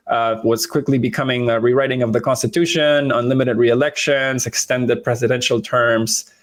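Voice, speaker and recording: A man's voice: medium pitch, vocal fry. A man in his thirties from Venezuela, a podcast guest explaining his views in casual talk, with many fillers and restarts.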